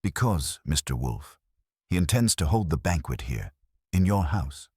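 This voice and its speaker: Man voicing an anxious, brittle society woman, husky. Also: extremely low pitch, sing-song, measured pace, dry recording, studio quality, exaggerated voice. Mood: anxious, urgent. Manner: hesitant, formal, brittle. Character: brittle, anxious, composed wealthy woman in her fifties. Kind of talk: detective audiobook character voice.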